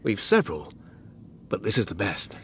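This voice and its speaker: A man's voice: fairly low pitch, huge pitch swings, normal speed, a little hiss or hum. A man playing a genial, proud male rabbit guide in a radio drama, breezy and boastful.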